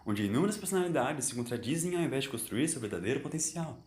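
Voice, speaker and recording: A man's voice: mid-range voice, animated, fast talker, a little mellow, reverberant, natural voice. A man voicing an eccentric time-travelling doctor in an audio story, confident and lecturing, with emphatic, theatrical delivery.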